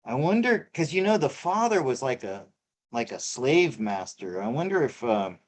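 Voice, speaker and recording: A middle-aged man chatting on a live tarot stream, his voice sitting at an ordinary middle pitch. He talks in halting bursts, repeating words and leaning on lots of fillers as he thinks aloud. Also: sing-song. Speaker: co-host reading tarot cards. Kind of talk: casual live-stream chat.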